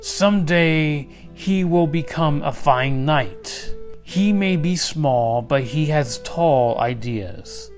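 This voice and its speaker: A man voicing a noble, kindly king from a storybook, proclaiming in a deliberate, stately manner. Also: on the high side, faint music behind. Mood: proud, ceremonial.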